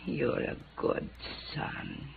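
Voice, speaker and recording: A woman playing a frail, vague, wistful middle-aged mother who drinks, speaking in a languid, hesitant, genteel way in a 1950s radio drama. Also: drawn-out words. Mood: vague, gentle. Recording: dull vintage radio recording with noise.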